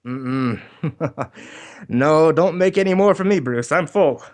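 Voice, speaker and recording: A man's voice: on the high side, animated, takes it slowly. A man playing a good-natured, hearty superhero in an old-time radio drama. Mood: relaxed, friendly.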